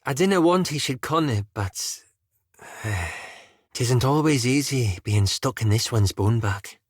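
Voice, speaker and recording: Male actor as brash elf lass, earthy dialect, teasing, highly animated intonation, dry studio sound, fantasy game. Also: slow, breathy edge, no echo. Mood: mischievous. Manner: folksy, teasing dialect speech. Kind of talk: scripted fantasy video game dialogue.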